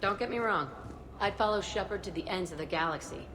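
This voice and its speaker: A woman's voice: mid-range voice, brisk, natural voice, poor audio. A woman playing a tough, fiercely loyal fighter in a video game, speaking bluntly with a hard edge. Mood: defiant. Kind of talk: scripted game dialogue. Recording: music under the voice.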